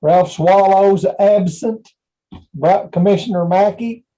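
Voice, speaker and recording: A man's voice: high voice, slow pace, dark tone, poor audio. A man working as a meeting official, formally calling a roll and tallying votes.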